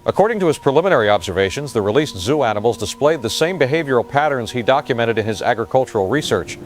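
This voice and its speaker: An actor playing a sober news reporter, reading a formal, factual report in an audio drama. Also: male voice, medium pitch, expressive, quick pace, close to an everyday voice. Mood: sober, urgent.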